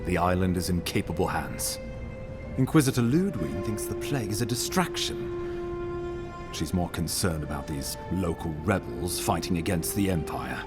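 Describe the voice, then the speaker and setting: An actor playing a grave imperial official in a video game, speaking formally and deliberately with authority. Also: male voice, low-pitched, animated, loud music behind the voice. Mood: grave, resolute. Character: grave, commanding imperial official briefing an envoy.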